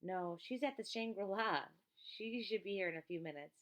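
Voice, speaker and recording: A woman's voice: mid-range voice, normal speed, fairly bright tone, lightly acted. A woman voicing a polite, earnest, easily flustered young boy in a children's audiobook. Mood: explaining. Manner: polite, plain-spoken, earnest.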